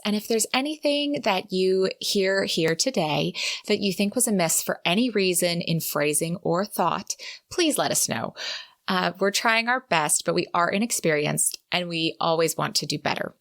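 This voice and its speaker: A woman's voice: on the low side, gravelly, fairly bright tone. A woman co-hosting a book podcast, talking through recaps and announcements in a conversational way. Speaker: podcast co-host.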